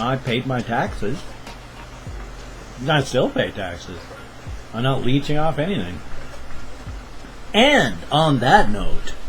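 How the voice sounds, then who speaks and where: A man's voice: medium pitch, low-quality recording. A man in his fifties rambling through a casual daily vlog at home, with jokey asides, recorded on a webcam. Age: 50s. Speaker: vlogger.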